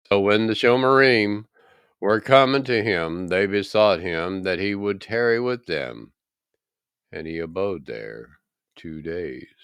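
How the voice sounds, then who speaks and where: A man reading scripture aloud in a solemn, measured and dramatic way, with deliberate pauses. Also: low voice, a bit bright, clean tone, no room sound, studio quality. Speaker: scripture reader.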